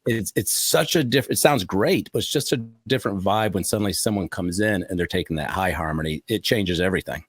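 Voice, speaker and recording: Fast-talking, low-voiced radio co-host. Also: male voice, husky, no room sound, clean studio sound. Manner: fast, energetic, opinionated. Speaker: radio show co-host, musician. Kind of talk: casual radio chat.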